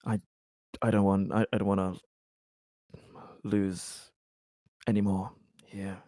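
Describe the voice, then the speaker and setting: Man playing a weary, halting, slow-spoken colony officer. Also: deep voice, level tone, dark tone, no echo, clean studio sound. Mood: grave, grieving. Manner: halting, grave, earnest, sighing. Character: weary, haunted male colony officer consoling a colleague. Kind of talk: improvised tabletop roleplay dialogue.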